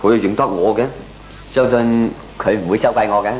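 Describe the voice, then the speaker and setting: A man's voice: on the low side, fairly even intonation, normal speed, a little mellow, natural voice. An actor playing a frugal, good-humoured ordinary man, explaining things expressively in comic radio drama with a Hong Kong accent. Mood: good-humoured, frank. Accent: Hong Kong Cantonese. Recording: echoey old radio drama recording.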